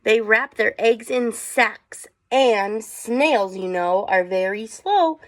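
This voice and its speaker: A woman's voice: fairly high pitch, slow pace. A woman teacher reading aloud to children, with chatty asides and questions for her young listeners.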